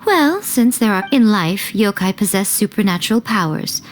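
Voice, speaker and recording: A woman's voice: medium pitch, animated, moderate pace, a little hiss or hum, lightly acted. A woman voicing a sly, composed fox shrine maiden in a video game, teasing and poised. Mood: composed, explanatory.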